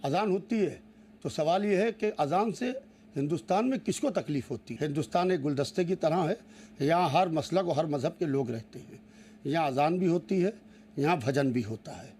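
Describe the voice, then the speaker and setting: Male cleric, emphatic statement, soft dark timbre, faint ambient noise. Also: a little high, normal speed. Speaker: cleric interviewee. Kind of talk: spoken statement to news camera.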